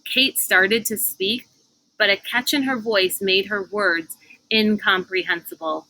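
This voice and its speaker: A woman narrates a storybook aloud in an expressive style. Her voice is bright and medium in pitch, and she reads slowly, with deliberate, dramatic phrasing. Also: rough recording.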